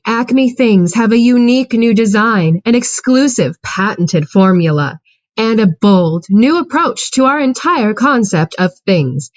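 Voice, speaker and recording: A woman announcer reading a parody commercial in a smooth sales-pitch manner. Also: no echo, dull audio. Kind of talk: mock commercial read.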